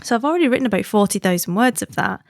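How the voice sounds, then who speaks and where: A woman's voice: on the high side, animated, racing, no room sound, clean studio sound. A woman from the UK hosting her own podcast, chatting in a friendly, easygoing way and laughing easily. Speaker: podcast host. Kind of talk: casual chatty podcast monologue.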